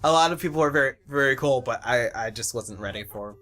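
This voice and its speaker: Bright-voiced young man, giggly and chatty. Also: mid-range voice, quick pace. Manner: giggly, often laughing through words. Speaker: web parody series creator on a commentary track. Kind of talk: casual chat commentary.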